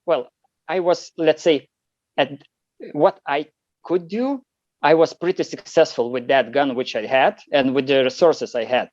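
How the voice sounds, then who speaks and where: A man's voice: fairly high pitch, a little mellow, dry recording. Lithuanian guy with a thick accent, lots of uhs, kinda muffled audio, dead dry. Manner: halting, many fillers and restarts. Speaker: competitive shooter guest. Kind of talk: podcast interview answers.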